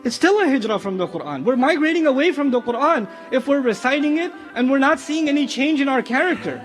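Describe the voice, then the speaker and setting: A man's voice: extremely high pitch, very animated, fast, soft background music. A man giving an Islamic lecture in an emphatic, explanatory way, often repeating phrases. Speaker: religious lecturer.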